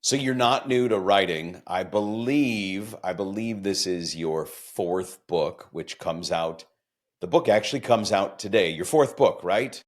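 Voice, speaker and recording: Low voiced guy hosting a podcast, chatty and upbeat, winding questions. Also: unhurried. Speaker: podcast host. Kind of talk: conversational interview hosting.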